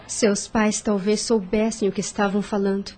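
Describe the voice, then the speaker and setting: An actress playing a sweet, meek, anxious young heiress in a radio drama, earnest and questioning, with a soft breathy voice. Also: female voice, medium pitch, flat delivery, moderate pace, a little hiss or hum, natural voice. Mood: compassionate. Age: young adult.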